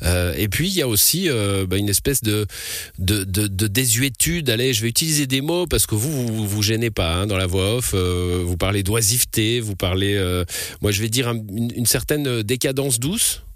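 A man's voice: lowish voice, normal speed, no room sound. A man hosting a radio interview in a studio, talking with many restarts and fillers. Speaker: radio news host. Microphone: studio mic.

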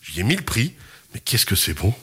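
Radio host, lively and chatty. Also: male voice, on the low side, fairly quick, husky. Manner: lively, laughs often, hesitant fillers. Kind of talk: casual radio chat.

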